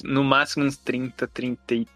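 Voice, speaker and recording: A man's voice: medium pitch, little pitch movement, moderate pace, sharp tone, no echo, faint music behind. A man who is a podcast guest, talking casually and teasing himself. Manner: sheepish, self-mocking. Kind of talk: casual chat. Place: lives in Japan.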